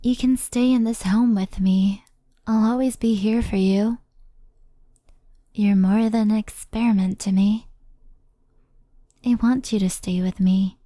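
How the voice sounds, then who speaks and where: A woman voicing a gentle, devoted but anxious female fox spirit in a soft, soothing, often whispery ASMR roleplay. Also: medium pitch, fairly even intonation, a little slow, long pauses, no room sound, studio quality, natural voice. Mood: tender, reassuring.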